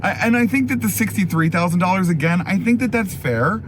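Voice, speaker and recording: A man hosting a casual, chatty car review in an animated, opinionated, enthusiastic way, his voice going a little hoarse. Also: high-pitched, a little fast, slight background noise. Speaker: car review video host.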